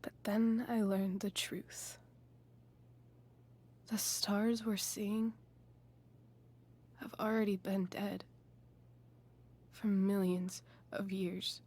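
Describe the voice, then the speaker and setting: A woman playing a disillusioned, hurt teenage girl in a video game, speaking softly and pensively, often close to a whisper. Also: medium pitch, little pitch movement. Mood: bitter, hurt. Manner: slow, halting, pensive delivery. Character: disillusioned, wounded teenage girl confiding in her friend. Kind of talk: naturalistic video game voice acting.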